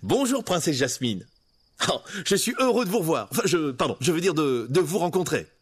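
A man's voice: a little high, big pitch swings, fairly quick, slightly rough, voice pushed up. A man voicing a young male thief posing as a prince, eager and prone to stammering. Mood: flustered.

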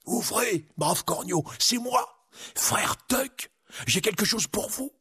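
High husky voice, little mole monk, pious, earnest, storybook character, male performer. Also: lively intonation, slow, pushed and bright, exaggerated voice. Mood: kindly.

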